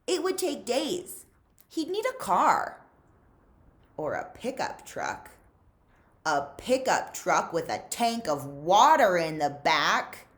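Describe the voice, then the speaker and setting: A woman reading a children's novel aloud as a librarian would, with measured, dramatic emphasis. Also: medium pitch, big pitch swings. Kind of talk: expressive storybook read-aloud.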